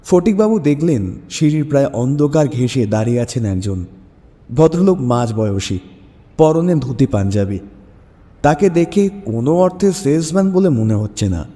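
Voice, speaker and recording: A male story narrator with a very dark, mellow tone at a medium pitch. He reads scripted dramatic prose as the host. His voice carries a long reverb over a music bed. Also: big pitch swings, moderate pace.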